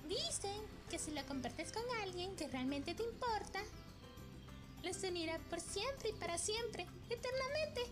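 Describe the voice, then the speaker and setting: A woman's voice: very high-pitched, expressive, a little slow, music in the background. A woman playing a dreamy, romantic young girl in a fan-dubbed game, sweet and wistful. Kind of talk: fan-dubbed video game cutscene acting.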